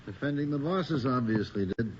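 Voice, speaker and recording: An actor playing a middle-aged, world-weary, skeptical police detective in a radio horror drama, with a dry, sardonic delivery. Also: male voice, mid-range voice, lively intonation, moderate pace, lightly acted. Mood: wry, weary. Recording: dull, noisy old radio recording.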